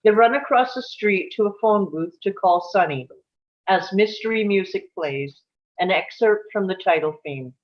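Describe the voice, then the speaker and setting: Mid-pitched woman narrator, dull lo-fi sound. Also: expressive, moderate pace. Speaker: stage-direction narrator. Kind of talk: scripted narration at a table read.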